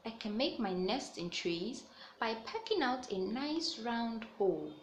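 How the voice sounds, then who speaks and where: A woman's voice: on the high side, sing-song, a little slow, reverberant, slight background noise. A woman voicing a friendly female barbet in a storybook, kindly and eager to help. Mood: helpful.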